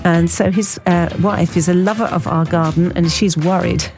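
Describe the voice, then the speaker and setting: Lady on hospital radio, bit croaky, chatty with lots of ums, faint music behind. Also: fairly low pitch, steady tone, moderate pace. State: slightly croaky. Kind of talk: casual radio chat between tracks.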